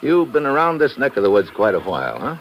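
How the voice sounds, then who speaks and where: An actor playing a tough, wry American investigator in a vintage hard-boiled radio drama, with clipped delivery. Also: male voice, mid-range voice, huge pitch swings, fast talker, highs cut off, a little hiss or hum. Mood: cool, probing. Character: tough wry American male investigator narrating his adventure. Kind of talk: 1950s radio drama acting, hard-boiled first-person narration. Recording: vintage radio recording with music behind.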